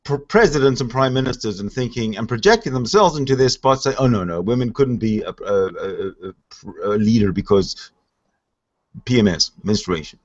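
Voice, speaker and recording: A Canadian man hosting a casual panel talk, opinionated and animated, often stammering and restarting. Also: medium pitch, normal speed. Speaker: host. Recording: dull lo-fi audio.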